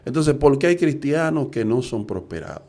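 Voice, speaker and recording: A man's voice: mid-range voice, animated, moderate pace, dark tone. A middle-aged man, preaching earnestly and asking rhetorical questions. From Dominican Republic. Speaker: evangelist preacher. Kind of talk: preaching talk.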